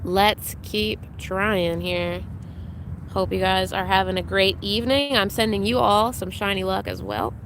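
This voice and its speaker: A young woman hosts a live game stream, chatting loosely and greeting her viewers one by one. Her voice sounds a little congested and she fills pauses with ums. A faint hum sits behind her voice. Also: mid-range voice, lively intonation, fairly bright tone. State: congested, recovering from illness. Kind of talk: casual live-stream chat.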